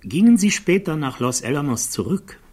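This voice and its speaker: An actor playing a formal, precise attorney who questions a witness in a German radio play. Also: male voice, on the high side, big pitch swings, normal speed, darkish tone, breathy edge. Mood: pointed, inquiring. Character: probing male defense attorney questioning a witness.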